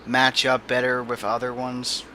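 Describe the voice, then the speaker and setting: A young man rambles through casual game commentary, circling back with fillers and self-corrections. His tone is bright and forward at an ordinary pitch. He says his voice is naturally low. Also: completely flat delivery, measured pace, slight background noise. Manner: rambling, many restarts and fillers. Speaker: let's play commentator. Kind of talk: casual gaming chat.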